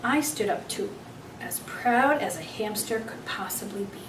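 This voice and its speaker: A woman reading a children's book aloud as an expressive storyteller. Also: medium pitch, measured pace, recorded from a distance. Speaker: story reader. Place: echoey room, away from the mic. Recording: roomy, off-mic, faint background noise.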